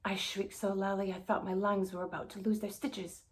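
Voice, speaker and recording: A woman reading a children's spooky story aloud in an expressive storybook style. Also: mid-range voice, moderate pace. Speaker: guest story reader.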